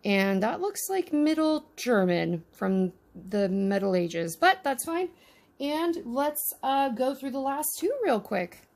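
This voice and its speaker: A woman's voice: high-pitched, sing-song, slow, a little mellow. A woman casually narrating a miniature unboxing with chatty, wry asides. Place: indoors at a tabletop.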